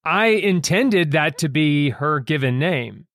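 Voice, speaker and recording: A man hosting a casual discussion of his own writing, speaking in an earnest, somewhat halting way. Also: a little high, lively intonation, fairly bright tone, no echo, clean studio sound. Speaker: host and writer.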